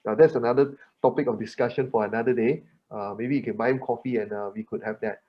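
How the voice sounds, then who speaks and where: A man moderates an online panel in a halting way, full of fillers and repeated words, often ending with a questioning "right?". His voice is mid-pitched. The audio is dull, like a video call. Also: steady tone. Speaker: webinar moderator. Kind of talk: hosting a panel webinar.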